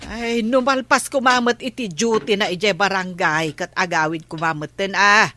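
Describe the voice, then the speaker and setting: A woman's voice: mid-range voice, normal speed, bright, forceful tone, close to an everyday voice. A woman playing a complaining, gossipy village housewife and aunt in a comedy drama. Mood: grumbling.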